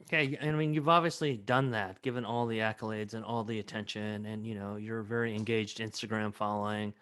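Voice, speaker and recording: A man hosting an interview, asking his guests questions in a steady, conversational way. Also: fairly low pitch, a little fast, clear, resonant tone. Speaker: host.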